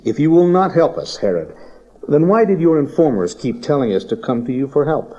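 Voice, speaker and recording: Haughty magus, mellow-toned. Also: male voice, a little high, big pitch swings, brisk. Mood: firm, courteous. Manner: lofty, declamatory, pointed. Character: proud young male magus, haughty, clever Eastern sage. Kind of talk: dramatized Bible audio acting. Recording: dull cassette tape audio drama.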